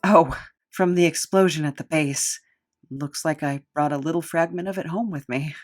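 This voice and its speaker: A woman's voice: low-pitched, moderate pace, a little mellow, soft background music, natural voice. A woman playing a quirky, self-loathing drifter with a rambling, sardonic, conversational delivery in an audio drama. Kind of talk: naturalistic audio drama acting.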